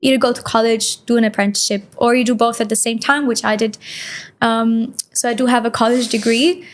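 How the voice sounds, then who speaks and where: A woman from Switzerland, a podcast guest telling her story in a chatty, animated way with many fillers. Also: on the high side, quick pace, slight background noise. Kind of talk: conversational interview storytelling.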